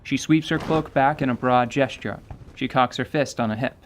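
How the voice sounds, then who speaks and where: A narrator describing action in a fantasy radio drama with even, descriptive delivery. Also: medium pitch, normal speed, slight background noise.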